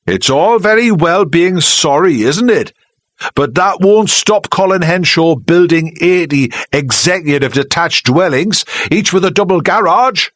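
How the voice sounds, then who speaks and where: Big loud mocking village mechanic, taunting, raised pitch, animated, as a narrator puts him on. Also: male voice, a little slow, no room sound. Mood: sneering, taunting. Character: big sneering male village mechanic, showing off.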